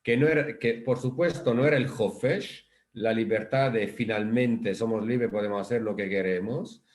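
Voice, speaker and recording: A man's voice: on the low side, moderate pace. A man from Italy with an Italian accent, a rabbi giving a thoughtful explanatory talk with many restarts over a video call. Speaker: rabbi, guest speaker.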